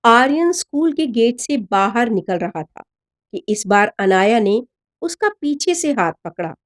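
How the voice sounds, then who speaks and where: A woman reading a scripted story as a narrator, expressive and measured. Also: fairly high pitch. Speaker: story narrator, voice-over artist.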